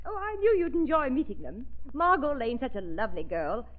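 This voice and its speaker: A woman's voice: sits high, big pitch swings, fairly quick. An actress playing a flighty, gushing society woman in a 1930s radio drama, chattering in a breathless way. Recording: dull scratchy 1930s radio broadcast.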